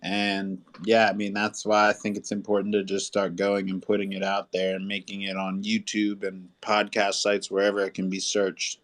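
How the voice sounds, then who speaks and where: A man's voice: fairly low pitch, completely flat delivery, moderate pace, few pauses, a bit bright. A man in a casual discussion, giving practical advice as a panelist.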